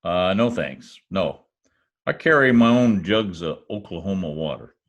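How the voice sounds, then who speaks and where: A man's voice: low-pitched, expressive, measured pace, highs cut off, exaggerated voice. A man playing a wry, wisecracking private detective who poses as a lonely oilman, in old radio drama style. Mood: folksy, playful. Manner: wry, quipping. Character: wisecracking male private eye posing as lonely Oklahoma oilman. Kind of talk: 1940s radio detective acting, first-person narration. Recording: remote video-call recording with music.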